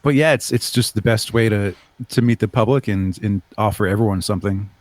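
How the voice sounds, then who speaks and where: Low-voiced man explaining his creative project. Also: brisk, no echo. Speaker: guest, audio drama creator. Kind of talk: casual interview chat.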